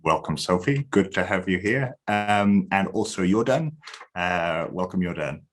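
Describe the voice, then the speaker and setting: A man's voice: deep voice, animated, a little slow. A man moderating a citizens' panel discussion, rambling with many fillers and restarts.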